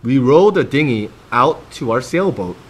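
A man's voice: medium pitch, animated, unhurried, slight background noise. A man in his thirties hosting a children's storytime, reading aloud expressively and speaking directly to young listeners.

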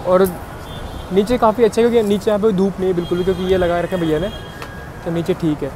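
A man's voice: high-pitched, fairly even intonation, quick pace. A man, chatting informally for a vlog on an open rooftop. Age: 20s. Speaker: vlogger. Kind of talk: casual vlog chat. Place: open rooftop cafe above a busy street. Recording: loud outdoor traffic noise.

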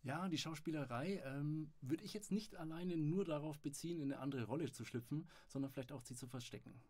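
A man hosting a casual podcast interview, friendly and quick to laugh. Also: on the high side, a little mellow.

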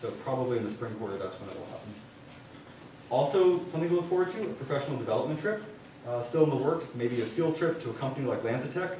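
A man's voice: mid-range voice, expressive, fast talker, warm tone. A young man giving an informal student science presentation, with casual, wry asides. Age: young adult. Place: university lecture hall. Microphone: far camera. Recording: distant room mic, very echoey, clear noise.

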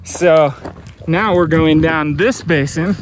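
A man in his thirties with a slightly high voice talks casually, like a hiker recounting the trail. Music plays softly behind him. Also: slow pace, rough recording. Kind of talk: casual trail vlog talk and recollection.

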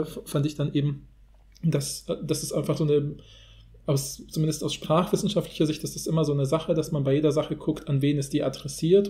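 Male podcast discussant, mellow and slightly high, wordy academic phrasing, informal delivery. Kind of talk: casual analytical discussion.

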